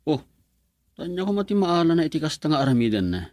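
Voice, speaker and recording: An actor playing a pensive young man in a radio drama, speaking in a low-key, thoughtful way. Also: very animated, fast, a little mellow, no room sound, studio quality.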